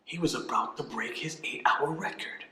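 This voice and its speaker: An actor voicing a pompous, fun-loving king in an amateur movie, talking in a booming, theatrical, demanding way. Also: normal speed, bright, forceful tone. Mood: annoyed. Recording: echoey room with faint music.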